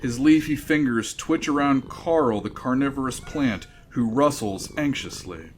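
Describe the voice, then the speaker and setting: A man's voice: medium pitch, a little slow, slight background noise. A man narrating a sci-fi radio comedy in a wry, dry scripted delivery.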